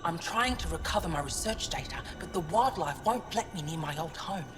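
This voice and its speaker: An actress playing an earnest, resolute alien scholar in a video game, with a formal, deliberate delivery. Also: female voice, lowish voice, animated, close to an everyday voice. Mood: earnest, concerned. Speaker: archaeoastronomer. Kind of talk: video game dramatic acting. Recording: long reverb, clear music behind voice.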